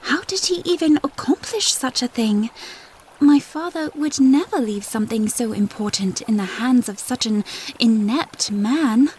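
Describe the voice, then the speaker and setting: An actress playing a young, inquisitive princess in an audio drama, speaking in an earnest, questioning way. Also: female voice, fairly high pitch, lively intonation, a little slow, a little mellow, slight background noise, poor audio. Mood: indignant.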